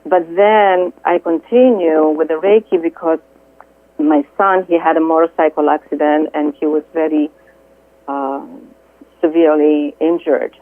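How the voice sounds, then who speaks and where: A middle-aged woman from Greece with a Greek accent, a guest speaking earnestly and haltingly, with many fillers, over the phone. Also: low-pitched, no room sound. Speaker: guest, Reiki healer. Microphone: telephone. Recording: tinny dry phone line.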